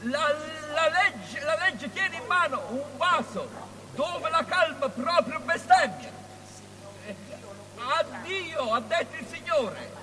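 An actor playing a ranting prophet who declaims cryptic doom in oracular shouts, in an avant-garde radio play. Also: male voice, very high-pitched, animated, slow, heavily acted. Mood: raving. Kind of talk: avant-garde theatrical radio drama acting. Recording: cavernous reverb, faint hum and noise.

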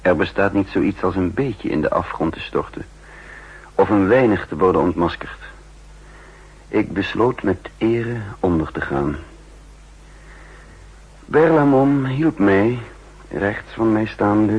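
An actor playing an intense, guilt-ridden double agent who narrates his own downfall in a 1960s Dutch radio drama, with a husky voice and deliberate dramatic delivery. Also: sits low, muffled recording, full character voice. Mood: grave, ashamed. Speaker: lead actor and first-person narrator.

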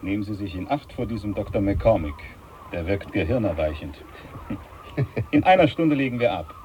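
A man playing a brisk, courteous, commanding naval captain in a German radio play, speaking in clipped, formal phrases. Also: on the low side, animated, a little mellow, a little hiss or hum, natural voice, low-quality recording. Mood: brisk, genial.

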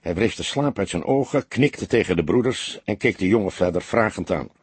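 A man's voice: fairly low pitch, expressive, normal speed, breathy edge, dry recording. A man narrating a crime novel as an audiobook, reading scripted prose in a dramatic storytelling style.

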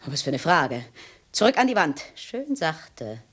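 An actress playing a tense, defiant housewife in a German radio crime drama, giving sharp commands that break into breathy hesitation. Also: female voice, deep voice, big pitch swings, measured pace, exaggerated voice. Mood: defiant, threatening. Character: tense, defiant housewife holding an intruder at gunpoint.

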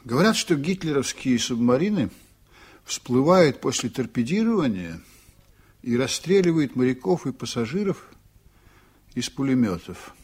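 An actor playing a weary, brooding middle-aged ship captain in an old radio drama, speaking heavily and thoughtfully. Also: male voice, mid-range voice, big pitch swings, moderate pace, darkish tone, breathy edge. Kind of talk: 1980s radio drama acting.

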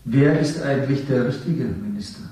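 An actor playing a worn-down stage actor under interrogation in a 1970s radio drama, defiant and bitter. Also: male voice, medium pitch, normal speed, mellow, recorded from a distance, natural voice. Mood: impassioned. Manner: defiant, then bitter and weary. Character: worn-down male actor who once played a minister. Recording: echoey room, voice off-mic.

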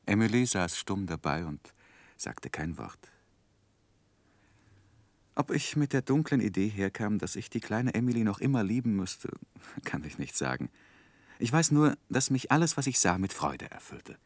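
A reflective gentleman narrator in a radio drama. The voice is deep and dry, with lively melodic swings over measured, literary sentences. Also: no echo. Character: reflective gentleman narrator recalling his youth. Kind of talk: scripted narration in 1960s German radio play.

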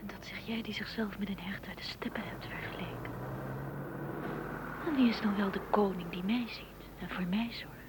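An actress in a radio play voicing a lonely, wistful blade of grass that asks questions in a hushed, breathy whisper. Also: female voice, mid-range voice, moderate pace, natural voice. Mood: questioning, wistful. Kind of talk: whispered radio drama acting. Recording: noisy old radio play recording.

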